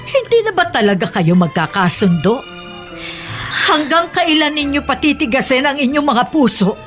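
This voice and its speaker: A woman's voice: high-pitched, lively intonation, normal speed, faint music behind. An actress playing a worried woman who begs her family to make peace, in a radio drama. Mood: pleading. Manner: pleading, reproachful.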